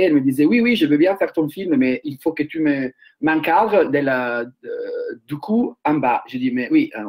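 A man, talking as a researcher on a panel. His voice sits a little high, and he halts often with fillers and restarts. He speaks over a webcam video call from a room at home. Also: a little mellow, breathy edge. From Italy. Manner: halting, many hesitations and restarts. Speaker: researcher and filmmaker. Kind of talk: round-table talk.

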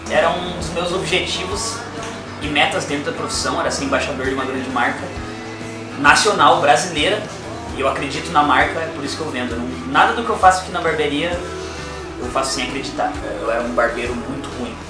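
Male, 30s, slightly high voice, earnest testimonial, music clearly behind. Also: fast talker. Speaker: barber, barbershop owner and brand ambassador. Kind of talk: personal testimonial to camera. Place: indoors, small barbershop. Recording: roomy, distant mic, clear background music.

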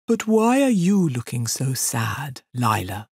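A woman's voice: a bass voice, very animated, slow, a little mellow, husky, no echo, clean studio sound, full character voice. A woman voicing a gloomy, lovesick male elephant in a children's story, mournful and grandly theatrical, drawing out his complaints. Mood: concerned.

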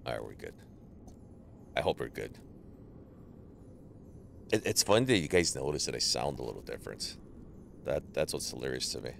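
A man streaming a game live, chatting casually with viewers and greeting them by name, sounding a little stuffy from allergies, on a boom mic. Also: normal speed, creaky, pauses often, a little hiss or hum. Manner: greets chat viewers, relaxed banter. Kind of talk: casual live gameplay chat.

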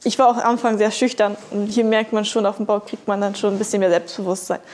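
Young woman, trade trainee, informal testimonial, medium pitch, faint background music. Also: flat delivery, fast, a little mellow.

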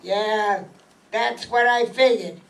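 A woman's voice: mid-range voice, slow, gravelly. A woman playing a short, wheedling small-time male gangster with slangy tough-guy talk in a stage radio mystery. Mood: feigned sorrow, evasive. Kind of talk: radio mystery acting before a live audience. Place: meeting room stage, camera among audience. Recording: distant mic in an audience room.

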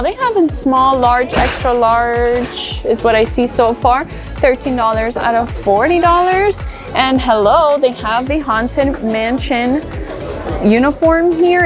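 A chatty woman with a high, light voice walks through a store, gushing over what she finds and correcting herself as she goes. Music plays clearly in the background. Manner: chatty, enthusiastic, thinks aloud while browsing. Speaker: shopping vlogger. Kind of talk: casual merchandise tour. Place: discount store.

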